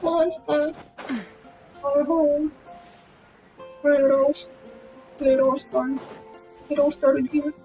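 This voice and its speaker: A woman's voice: sits high, steady tone, slow pace, long pauses, highs cut off. A woman game streamer giving casual let's play commentary, playfully repeating phrases over game music. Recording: loud game music behind.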